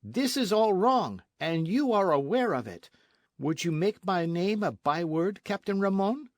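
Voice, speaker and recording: A man's voice: high-pitched, very animated, measured pace, darkish tone, dry recording, clean studio sound, pitched above the performer's own voice, exaggerated voice. A man voicing a proud, outraged young Spanish colonial noblewoman in a storybook, speaking formally and emphatically. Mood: alarmed, firm. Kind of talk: storybook narration with character voices.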